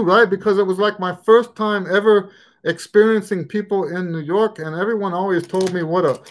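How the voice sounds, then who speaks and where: A middle-aged man, a trumpet teacher, answering questions in a casual live stream from his living room on a webcam, rambling and restarting his sentences. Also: high-pitched. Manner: rambling, frequent restarts and stammered repeats. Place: living room at home, indoors. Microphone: webcam at desk distance.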